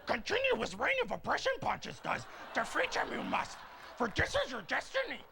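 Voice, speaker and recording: A man playing a wise old hermit teacher who speaks with backwards word order, in a gravelly voice. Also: very high voice, wildly swooping pitch, brisk, background noise, heavily acted. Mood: sage.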